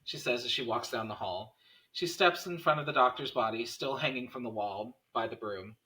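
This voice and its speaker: Male narrator for a script table read, stage directions in a bright, forceful mid-range voice. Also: mid-range voice, normal speed, low-quality recording.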